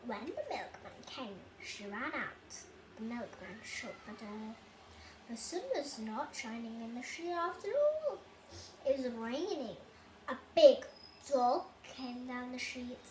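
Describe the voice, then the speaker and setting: A child reading a children's bedtime story aloud with expressive, dramatic delivery. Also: mid-range voice, slow. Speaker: storybook reader. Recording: roomy echo, loud enough background noise.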